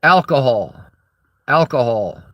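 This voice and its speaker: A tired man with a Southern US accent, talking slowly in a rambling monologue to camera. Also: mid-range voice, expressive, fairly bright tone, dry recording. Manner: slow, tired, drawling delivery. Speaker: vlogger.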